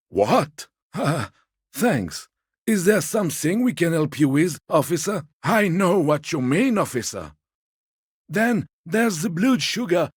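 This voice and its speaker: An actor playing an elderly, polite and evasive old man in a video game, speaking in a mild, apologetic and deferential way with a husky voice. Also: a little high, no room sound, clean studio sound, exaggerated voice. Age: older. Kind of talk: video game character dialogue.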